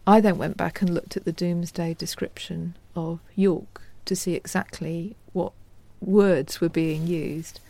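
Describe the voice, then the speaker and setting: Measured woman historian, low creaky voice. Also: fairly even intonation, warm tone, no echo. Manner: measured, scholarly. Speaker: guest historian. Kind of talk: explanatory interview talk.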